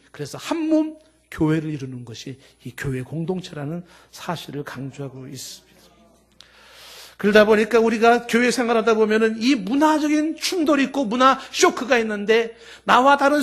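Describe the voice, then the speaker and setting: High-voiced man preaching with emphatic lists. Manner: emphatic preaching with long spoken lists, swinging from loud to soft. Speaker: pastor. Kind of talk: Sunday sermon.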